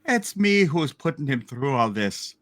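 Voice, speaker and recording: A man playing an earnest townsman praying for a troubled friend in a hammed-up radio play, deliberate and dramatic. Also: medium pitch, big pitch swings. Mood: reproachful, pleading.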